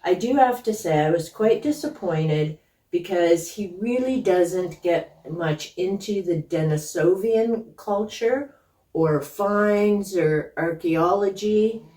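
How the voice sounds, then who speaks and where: A woman's voice: on the low side, mellow, distant mic. A woman whose first language is Hungarian, talking casually and skeptically about books she read, rambling with side thoughts. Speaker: book vlogger. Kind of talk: casual book review talk. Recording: echoey room, voice from off-mic.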